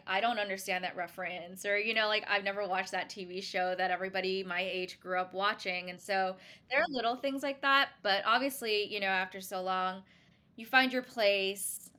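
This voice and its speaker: A woman from Singapore talks as a podcast guest. Her voice is bright and forward, and her delivery is relaxed and reflective. She fills her pauses with "um" and "you know". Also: mid-range voice. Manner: relaxed, reflective, many fillers. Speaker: guest, brand founder. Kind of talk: casual podcast interview.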